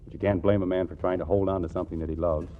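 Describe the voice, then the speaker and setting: An actor playing a gruff, jealous but still-loving husband in a 1940s radio comedy, blunt and brooding. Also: male voice, low voice, flat delivery, racing, natural voice. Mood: wistful, tender. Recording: tinny narrow-band 1940s radio recording. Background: music.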